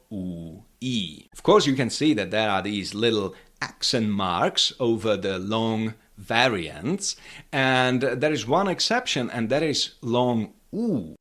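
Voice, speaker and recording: A man's voice: fairly low pitch, a bit bright. A middle-aged man with a Czech accent teaching pronunciation, modelling each word twice for learners to repeat. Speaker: language teacher. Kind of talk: pronunciation lesson with repeat-after-me drills.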